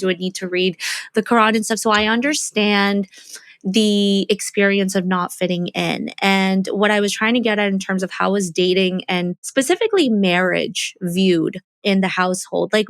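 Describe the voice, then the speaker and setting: A woman's voice: medium pitch, no echo. A young American woman hosting a conversational podcast, thinking aloud in a casual, thoughtful manner. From United States. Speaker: podcast host.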